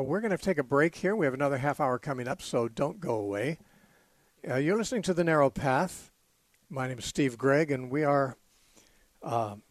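A hoarse man hosting a live call-in Bible radio show, speaking with frequent fillers and restarts. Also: mid-range voice, no echo. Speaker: radio talk show host.

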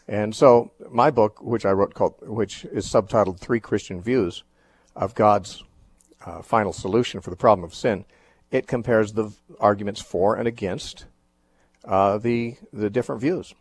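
A man hosts a radio call-in program, explaining scripture at an unhurried pace. His voice is somewhat husky, and he often pauses with fillers and restarts his sentences. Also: on the low side. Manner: thoughtful, measured, with frequent fillers and restarts. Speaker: radio call-in show host. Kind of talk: Bible teaching on a call-in show.

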